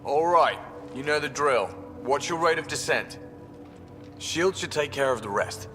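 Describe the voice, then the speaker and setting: An actor playing a teasing, no-nonsense squad mate in a sci-fi game, with dry, instructive delivery and long reverb around the voice. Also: male voice, fairly high pitch, expressive, measured pace, over music. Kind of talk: scripted video game acting. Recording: long reverberant hall sound.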